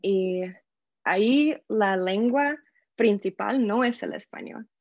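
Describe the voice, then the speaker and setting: A woman from the United States with an American English accent, a podcast guest telling her story in a lively way and slipping English words into her Spanish. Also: medium pitch, takes it slowly, dry recording, highs cut off. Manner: animated, acts out quoted voices, mixes English words into Spanish. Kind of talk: casual interview storytelling.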